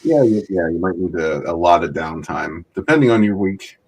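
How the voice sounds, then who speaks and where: A man's voice: low voice, lively intonation, normal speed, darkish tone, breathy edge. A man hosting a casual livestream chat, greeting viewers by name and laughing often. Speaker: livestream host.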